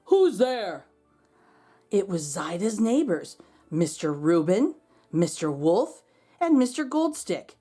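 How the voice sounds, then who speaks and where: A woman reading a children's storybook aloud, expressively and dramatically, with drawn-out words. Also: lowish voice, sing-song, dark tone, husky, soft background music. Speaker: children's read-aloud host. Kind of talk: expressive storybook narration for kids.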